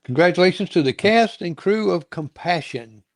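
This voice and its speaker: A man's voice: sits high, expressive, moderate pace, no room sound. A man hosting a casual interview, chatting in a rambling, folksy way and often repeating himself. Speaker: talk show host and interviewer.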